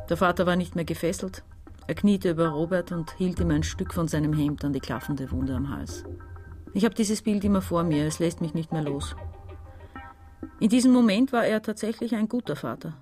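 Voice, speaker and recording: Low-voiced, haunted grown daughter, acted by a woman. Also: a little mellow, natural voice. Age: middle-aged. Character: haunted daughter in her forties confessing, unrepentant. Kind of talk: radio crime drama monologue.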